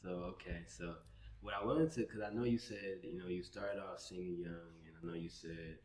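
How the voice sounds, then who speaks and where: A man with a deep voice hosting a podcast, asking rambling questions that restart midway. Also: fairly even intonation, brisk, a little mellow, slight background noise. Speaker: podcast host. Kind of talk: casual interview questions. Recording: roomy echo.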